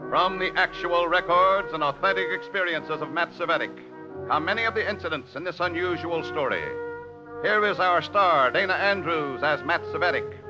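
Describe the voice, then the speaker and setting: A man announcing a 1950s radio drama in a dramatic, emphatic style. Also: a little high. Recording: dull, lo-fi old radio recording. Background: brassy orchestral music.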